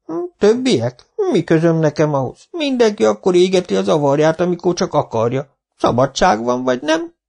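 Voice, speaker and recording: A man voicing a sly old village man who answers with feigned innocence and mocking calm. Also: high-pitched, creaky, voice pushed up, full character voice. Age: older.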